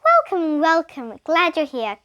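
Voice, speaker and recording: Storybook teacher, acted by a woman reader: female, hospitable, reassuring, gently spoken in a high register. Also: wildly swooping pitch, unhurried, no room sound, dull audio, heavily acted. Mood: welcoming. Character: welcoming woman teacher sheltering scared children.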